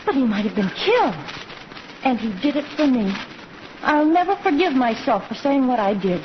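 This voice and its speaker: A hissy, muffled vintage radio recording of an earnest young schoolmarm. She is proper and dramatic, with a high, expressive voice. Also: normal speed. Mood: remorseful. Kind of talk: old-time radio western acting.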